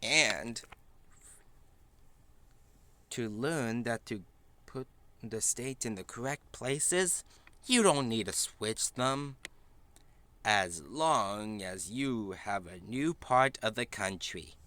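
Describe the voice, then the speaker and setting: A man reading a children's picture book aloud in a halting, deliberate way, with small stumbles. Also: medium pitch, expressive, sharp tone. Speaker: storybook reader.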